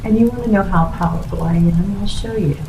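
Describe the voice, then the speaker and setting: A woman playing a playful, boastful, slightly crazy witch hypnotist in an ASMR roleplay, speaking in a slow, coaxing, teasing manner. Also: medium pitch, close to an everyday voice. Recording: noisy recording in echoey room.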